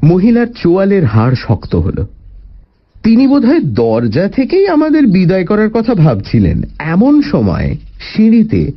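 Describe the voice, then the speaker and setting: A man narrating a story in the first person, with an expressive, deliberate dramatic delivery. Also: high-pitched, lo-fi sound. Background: faint music.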